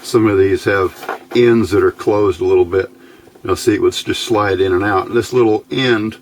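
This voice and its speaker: A man with a fairly low voice gives a casual, hands-on electronics tutorial. He speaks very slowly, pausing and thinking aloud as he explains each part. A faint background noise sits under the voice. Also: darkish tone. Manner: halting, unhurried, thinking aloud. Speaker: electronics hobbyist video host.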